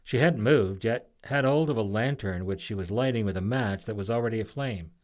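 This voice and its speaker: A man reading his own children's story aloud in an expressive scripted narration. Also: fairly low pitch, brisk.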